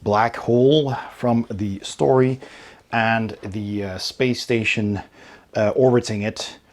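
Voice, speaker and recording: A man's voice: lowish voice, steady tone, takes it slowly. A man hosting a casual unboxing video, thinking aloud and hesitating as he describes each item. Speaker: host of a board game unboxing channel.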